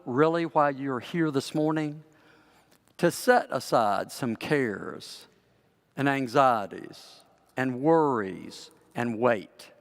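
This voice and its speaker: An older male pastor with a raspy, hoarse voice, giving a conversational sermon that gently guides the congregation, recorded on a headset mic in a large church sanctuary. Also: medium pitch. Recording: long church reverb.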